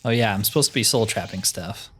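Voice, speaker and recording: A man's voice: on the low side, fast, fairly bright tone. A man giving casual playthrough commentary as a video game host, thinking aloud with self-deprecating humor. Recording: music behind the voice. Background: game music.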